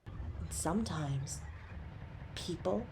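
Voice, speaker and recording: A woman's voice: deep voice, expressive, takes it slowly, gravelly, a little mellow, slight background noise, voice pushed down, full character voice. A woman playing a bored, taunting supervillain in a superhero audio roleplay, drawling and mocking theatrically. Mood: scornful menace.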